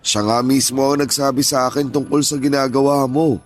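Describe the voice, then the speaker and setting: A man playing an aging father in a radio drama, stern at first and then gentle and pleading with his grown son. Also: mid-range voice, fairly even intonation, a little fast, soft background music, lightly acted. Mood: confronting. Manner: pleading, earnest, scolding turning gentle. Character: aging father, stern yet tender, grieving. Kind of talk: Tagalog radio drama acting.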